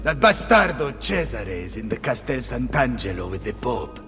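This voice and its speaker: A man's voice: mid-range voice, big pitch swings, moderate pace. A man playing a boisterous middle-aged Italian mercenary captain in a video game, with an Italian accent and a hearty, bombastic delivery. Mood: gruff, determined. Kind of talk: video game dramatic acting. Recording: long reverb under loud game music.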